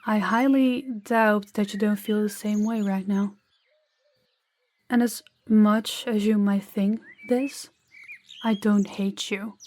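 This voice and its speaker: A woman playing a gentle, candid young princess in an intimate audio roleplay, soft-spoken and confiding with hesitant pauses. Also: mid-range voice, steady tone, lightly acted. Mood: earnest, uneasy.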